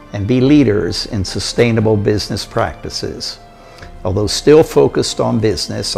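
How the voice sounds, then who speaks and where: An older man from Costa Rica, telling his life story in a measured, steady delivery. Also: lowish voice, vocal fry. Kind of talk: prepared autobiographical narration.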